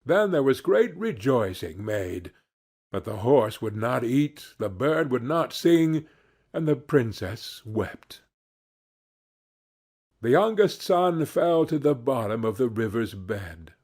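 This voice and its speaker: A man narrating a fairy tale from a script in a measured, deliberate storytelling manner. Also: mid-range voice, big pitch swings, darkish tone, breathy edge.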